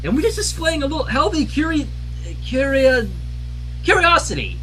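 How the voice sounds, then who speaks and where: A man's voice: very high voice, animated, normal speed, sharp tone, a little hiss or hum, pitched above the performer's own voice, exaggerated voice, rough recording. A man voicing a sweet, naive little filly pony who is curious and insistent, in a cartoon fan story.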